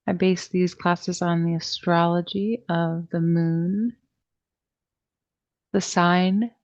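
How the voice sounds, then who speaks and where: A woman teaching a guided meditation class, speaking in a soothing, deliberate way with long pauses and a soft-edged, creaky voice. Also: on the low side, fairly even intonation, muffled recording. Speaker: yoga nidra meditation teacher.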